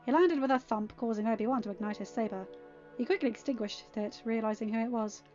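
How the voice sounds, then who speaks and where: A woman reading a fan fiction story aloud as an amateur narrator, in an expressive scripted style. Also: a little high, fast talker. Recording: dull, band-limited audio with background music. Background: quiet music.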